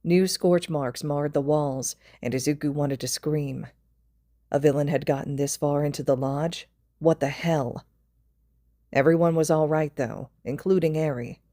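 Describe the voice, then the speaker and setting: Female podfic narrator, low pitch, scripted storytelling, very dry close sound. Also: fairly even intonation, unhurried, dark tone, no room sound. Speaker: podfic reader.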